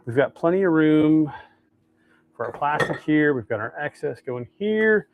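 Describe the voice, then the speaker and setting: A man's voice: on the high side, expressive, measured pace, narrow, tinny audio, background noise. An American man hosting a casual live demonstration, talking to viewers in a folksy, easygoing way and thinking aloud as he works. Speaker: host of a fishing lure making livestream.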